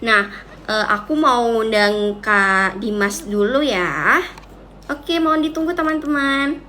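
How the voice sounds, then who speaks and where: A woman's voice: a little high, expressive, takes it slowly, sharp tone, slight background noise. A woman hosting a live online talk, chatty and mixing formal greetings with casual phrasing. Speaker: host.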